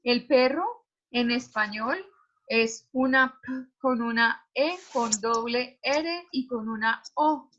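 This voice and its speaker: A woman teacher giving a lecture to her students, explaining slowly with many examples and small fillers. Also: fairly high pitch, a bit bright, lo-fi sound.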